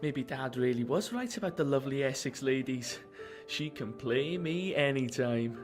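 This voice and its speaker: A man's voice: mid-range voice, animated, normal speed, over music, natural voice. An actor playing a cheeky, chatty young man with a Welsh accent in a radio drama. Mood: admiring, cheeky. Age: young adult.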